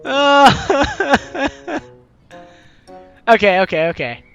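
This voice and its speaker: Animated, bright-voiced game streamer over background music. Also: high voice, extremely slow, vocal fry, dull audio. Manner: animated, theatrical, playfully exclaiming. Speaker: gaming video host reading a visual novel aloud. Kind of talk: let's play commentary with dramatized reading of game text.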